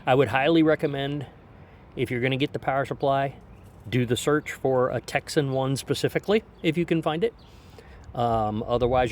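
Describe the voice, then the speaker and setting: A man giving a casual, explanatory hands-on product review in an informal way, speaking outdoors on a deck. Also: medium pitch. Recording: outdoor background noise.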